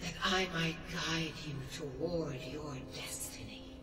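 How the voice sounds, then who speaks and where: A woman playing an imperious, righteous goddess in a fantasy game, speaking in a stately, commanding way through a booming echo. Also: slow, loud music behind the voice. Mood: grave, disdainful. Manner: stately, solemn, formal, commanding. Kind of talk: scripted fantasy game voice acting. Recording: echoing game audio, loud wind noise, distant.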